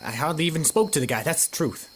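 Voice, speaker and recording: A man's voice: on the high side, fast, a bit bright, slight background noise, close to an everyday voice. A man playing a cornered small-time racketeer in a noir radio drama, talking in a grudging, defensive, streetwise way. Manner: defensive, hesitant streetwise talk.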